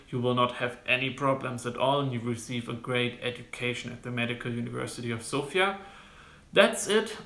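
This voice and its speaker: A young man from Germany with a German accent, giving casual advice to camera as a medical student, halting with frequent restarts. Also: mid-range voice, pushed and bright. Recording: roomy, echoey room sound.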